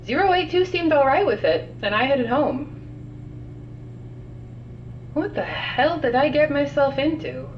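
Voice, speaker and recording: A woman's voice: high-pitched, measured pace, long pauses. A woman playing a weary, sardonic scientist recording containment logs in an audio drama, dry and matter-of-fact. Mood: wry, bemused. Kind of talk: audio drama log narration. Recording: muffled, distant, noisy log with hum.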